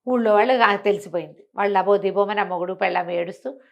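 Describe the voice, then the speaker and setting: Expressive woman telling a village bedtime tale. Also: medium pitch, fast talker, vocal fry, lo-fi sound. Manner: expressive, acts out villagers' exclamations and asks rhetorical questions. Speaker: storyteller. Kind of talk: oral bedtime storytelling, conversational.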